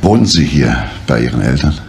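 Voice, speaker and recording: An actor playing a grave, controlled middle-aged detective under suspicion, speaking in a measured, deliberate way in a crime drama. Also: male voice, extremely low pitch, very animated, darkish tone, a little hiss or hum. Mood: grave, insistent. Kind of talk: restrained crime drama dialogue.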